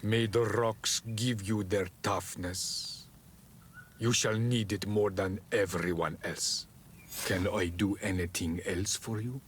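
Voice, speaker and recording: Proud male island warrior, low and very slow, solemn ceremonial game dialogue. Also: fairly even intonation, slight background noise. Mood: solemn, resolute. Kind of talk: video game cutscene dialogue acting.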